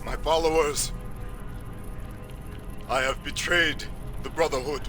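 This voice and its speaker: A fallen zealot confesses to his followers in a high, strained-upward pitch and very slow phrases. It is a declamatory video game performance, penitent and fervent, with clear music behind it. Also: fairly even intonation. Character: broken male religious traitor publicly confessing to his followers. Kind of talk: dramatic game cutscene acting.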